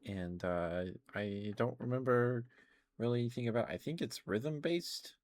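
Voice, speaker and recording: A man's voice: medium pitch, measured pace. A man chatting casually and hesitantly on an ASMR game livestream, musing and rambling as he goes. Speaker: live streamer, video game streamer.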